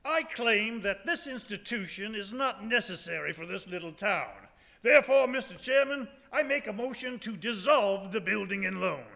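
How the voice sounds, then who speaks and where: An actor playing a villainous small-town tycoon in a live radio play, with haughty, scornful oratory in an echoey hall. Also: male voice, high-pitched, lively intonation, normal speed, creaky, pushed and bright, heavily acted. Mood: scheming.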